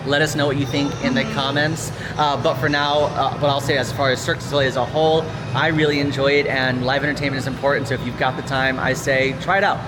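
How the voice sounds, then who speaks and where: A co-host of a review video chatting casually, halting and circling back to rephrase. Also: mid-range voice, little pitch movement, noisy background. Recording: loud music behind the voice. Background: loud music.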